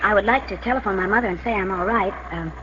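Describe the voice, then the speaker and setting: A woman's voice: medium pitch, fast talker, close to an everyday voice. An actress playing a pert, playful young woman, a detective's sidekick, in a 1940s radio crime drama. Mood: polite, brisk. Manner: pert, bantering. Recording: muffled, noisy old radio recording.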